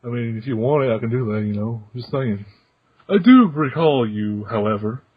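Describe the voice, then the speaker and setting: A man voicing a genial, teasing retired old council chairman in a fan podcast read-through, with playful, drawn-out pauses. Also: mid-range voice, expressive, husky, dry recording. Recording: muffled, dry lo-fi recording.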